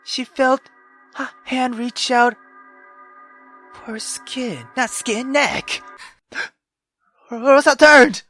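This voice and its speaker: A woman reading a visual novel aloud as a gamer, dramatic and expressive, breaking off into exclamatory, sweary asides. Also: high-pitched, very slow, long pauses. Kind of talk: dramatic reading with reaction commentary. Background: game music.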